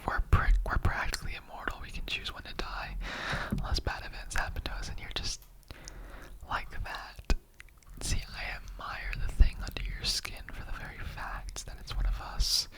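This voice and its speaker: A performer voicing a chatty, earnest immortal skeleton interrogator in a whispered ASMR roleplay, rambling conversationally with halting asides. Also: natural voice, low-quality recording. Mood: earnest, curious.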